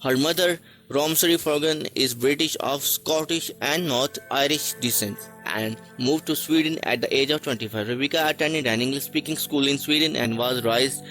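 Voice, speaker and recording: Guy reading a list script kinda haltingly, restarts a lot, faint music underneath. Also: mid-range voice, fairly bright tone, rough recording. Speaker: narrator. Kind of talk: read-aloud list narration.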